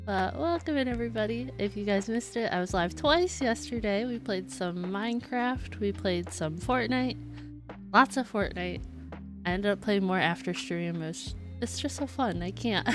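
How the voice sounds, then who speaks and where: A woman hosting a gaming livestream, chatting casually and informally to her viewers. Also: on the high side. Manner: chatty, informal, laughs easily. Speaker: livestream host. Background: background music.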